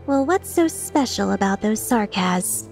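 A woman's voice: mid-range voice, expressive, a little slow, creaky, a little mellow, faint music behind. A woman voicing a cocky female mercenary leader in a video game fan dub, playful, sarcastic and taunting with an edge of menace. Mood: sardonic.

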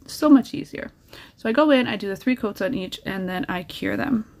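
A woman narrates her nail painting in a relaxed, chatty way, dropping practical tips and encouragement as she goes. Her voice sits at a middle pitch with an easy, conversational flow. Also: normal speed, vocal fry. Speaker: nail art creator. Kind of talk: casual tutorial voice-over.

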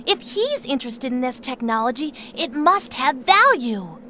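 Bright, bossy young female bird. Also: high-pitched, very animated, normal speed, slight background noise, full character voice. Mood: shrewd, persuasive. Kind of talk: video game cutscene acting.

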